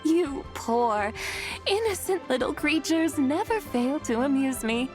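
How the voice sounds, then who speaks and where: Lofty, animated ancient immortal. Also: female voice, high-pitched, measured pace, over music. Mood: amused, condescending. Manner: archaic, grand, theatrical phrasing. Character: lofty ancient female immortal, amused by mortals. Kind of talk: video game character acting.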